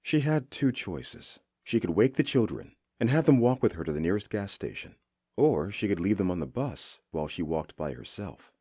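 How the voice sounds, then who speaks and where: A man reading a horror story as a scripted narration, with suspenseful, expressive delivery. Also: low-pitched, moderate pace, husky, no room sound, clean studio sound.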